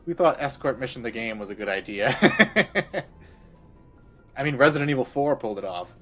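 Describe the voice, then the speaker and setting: A man's voice: mid-range voice, animated, normal speed, fairly bright tone, soft background music. A man streaming a game, rambling crudely in casual commentary and laughing often.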